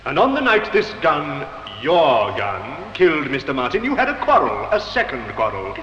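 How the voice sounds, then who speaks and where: Muffled roomy old radio play, accusing man prosecutor, sharp highish voice, stern and rhetorical. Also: wildly swooping pitch, moderate pace. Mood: stern, probing. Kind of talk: 1950s radio drama acting. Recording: muffled, noisy, echoey old radio recording.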